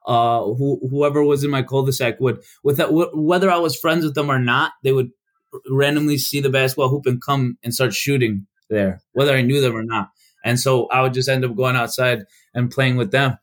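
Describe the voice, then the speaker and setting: A young man from Chicago, a podcast guest, telling stories in a casual, halting way with many restarts and fillers. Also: medium pitch, fairly even intonation. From United States.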